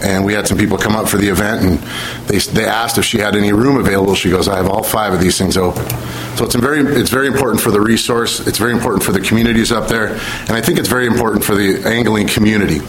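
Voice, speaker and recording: A man in his thirties from Washington State speaks up at a public meeting without using the mic. His voice sits low and his speech is earnest, halting and full of fillers. Faint room noise sits under his words. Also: fairly even intonation, poor audio. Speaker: public commenter. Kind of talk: public comment at a commission meeting. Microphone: speaking away from a mic.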